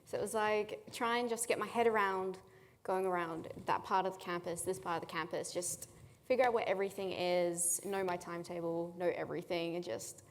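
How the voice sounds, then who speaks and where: A woman's voice: on the low side, fairly even intonation, a little fast. Woman student, casual and chatty, long reverb. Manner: chatty, lots of "like". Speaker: student panelist. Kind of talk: casual panel talk.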